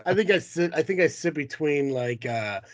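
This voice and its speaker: A man's voice: a little high, lively intonation, normal speed, slight background noise. A man hosting a casual podcast while sick with a cold, talking in a self-deprecating, foul-mouthed, grumbling way. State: sick with a cold, runny nose. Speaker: podcast host. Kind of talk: casual chat, ad read at start.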